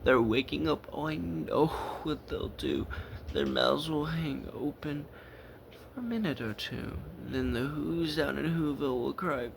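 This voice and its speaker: Man putting on a sly, gloating Grinch, slow and noisy. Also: on the high side, vocal fry.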